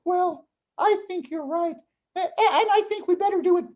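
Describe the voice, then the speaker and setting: A woman's voice: very high voice, normal speed, darkish tone. A woman voicing a fretful, kindly older mother in a puppet show, hesitant and sighing as she speaks. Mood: eager. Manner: hesitant, sighing, repeating words. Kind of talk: children's puppet show dialogue.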